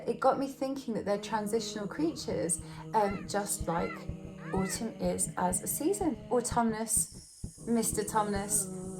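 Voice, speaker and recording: A woman's voice: mid-range voice, rough recording. A British woman talking through a meandering, casual vlog monologue, chatty and full of fillers and restarts. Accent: British English. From Britain. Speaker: video creator. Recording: faint music behind the voice.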